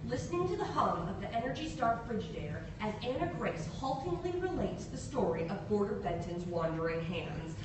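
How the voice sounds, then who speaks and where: A woman giving a theatrical, expressive live reading of a story at a podium in a hall. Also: mid-range voice. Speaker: reader at a literary event. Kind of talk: dramatic live reading of a short story in character. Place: indoor hall at a podium, audience present. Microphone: far camera. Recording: echoey room from far off, noisy.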